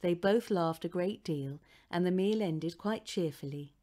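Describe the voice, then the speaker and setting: A woman narrates a children's book. Her voice sits a little low, and she reads in a scripted storytelling style. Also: unhurried, mellow. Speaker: audiobook narrator.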